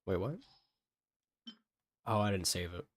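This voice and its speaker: A man's voice: low-pitched, steady tone, a little slow, a little hiss or hum. A man streaming a game, chatting casually and thinking aloud in a rambling way. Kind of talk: casual live gameplay commentary. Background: game music.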